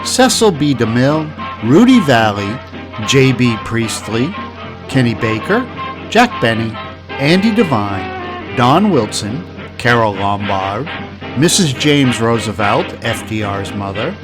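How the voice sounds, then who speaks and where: A man delivers scripted podcast narration in a medium-pitched voice. Instrumental music with guitar plays clearly behind him. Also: big pitch swings, slow.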